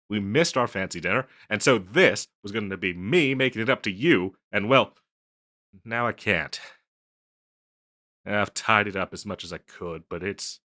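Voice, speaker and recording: Guy playing a flustered harpy boyfriend, deep bright voice, stammery n apologetic, intimate audio rp. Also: no echo, studio quality. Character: flustered, self-conscious young male harpy boyfriend, tender and apologetic. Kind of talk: scripted audio roleplay, intimate one-sided dialogue.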